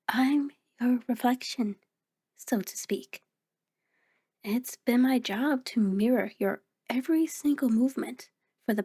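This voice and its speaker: Slow, gentle, higher-pitched woman acting a reassuring reflection. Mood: calm, frank. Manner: gentle, encouraging, hesitant pauses. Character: kind, reassuring, playful living mirror reflection. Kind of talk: ASMR roleplay, addressing the listener.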